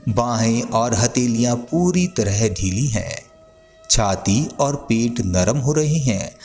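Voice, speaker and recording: A man leads a guided sleep meditation as a scripted narration. His pace is very unhurried, yet his pitch moves in a lively way. He gives soothing, gentle instructions over a music bed.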